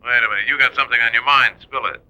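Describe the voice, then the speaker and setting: A man playing a brisk, businesslike insurance executive on the telephone in 1940s radio drama style. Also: medium pitch, fairly even intonation, bright, forceful tone, highs cut off. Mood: insistent.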